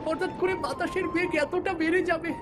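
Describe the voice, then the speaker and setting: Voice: very high-pitched, steady tone, a little fast, crowd noise, background noise. A performer voicing a stubborn, careless village boy in a cartoon, whiny and pleading when things go wrong. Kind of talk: cartoon voice acting.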